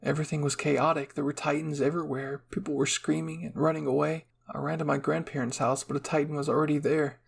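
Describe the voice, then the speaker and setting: Husky, creaky-voiced man narrating a story. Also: a little high, flat delivery, fast, few pauses. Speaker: fan fiction narrator. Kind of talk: ASMR story narration, reading in first person.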